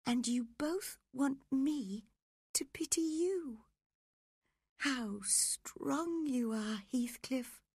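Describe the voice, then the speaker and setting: A woman voicing a frail, dying young wife, passionate and desperate, with breathy, pleading drama, in a storybook reading. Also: high-pitched, very slow, a little mellow, no echo, studio quality, voice pushed up, exaggerated voice. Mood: wounded, pleading.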